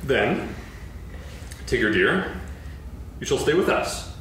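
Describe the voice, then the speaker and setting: A motherly kangaroo who is kind but firm, coaxing with a low, lively voice. The sound comes from a distance in a boomy hall. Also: slow pace, slight background noise, heavily acted. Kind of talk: staged reading of a stage play. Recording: echoey hall from far off.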